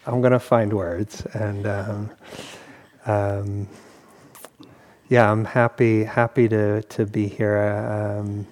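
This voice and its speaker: Man meditation teacher, lowish voice, very slow, halting with pauses and fillers, self-deprecating. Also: flat delivery, a little mellow. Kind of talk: welcoming talk at a retreat. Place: meditation hall.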